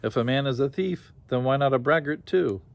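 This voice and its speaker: A man's voice: mid-range voice, fairly quick, gravelly, no echo, pitched above the performer's own voice, natural voice. A man voicing a sly, self-righteous storybook swindler. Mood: dismissive. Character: sly, accusing male swindler, a tinker.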